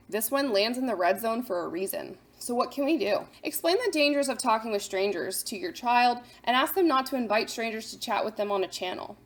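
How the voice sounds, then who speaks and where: A woman hosting a scripted explainer for parents, instructive, posing questions and then answering them. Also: on the high side. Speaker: host of a parent guide to apps.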